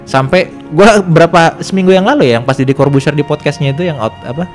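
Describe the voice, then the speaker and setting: A man's voice: on the high side, fast talker, soft background music. A man with a Jakarta Indonesian accent telling his own story in a casual, slangy podcast conversation.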